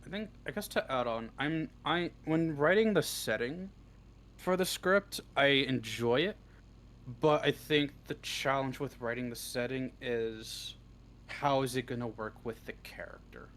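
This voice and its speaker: A man's voice: medium pitch, measured pace, fairly bright tone. Casual man with noticeable background noise. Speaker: interview guest, audio roleplay script writer. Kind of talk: casual interview chat. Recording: clearly noisy background.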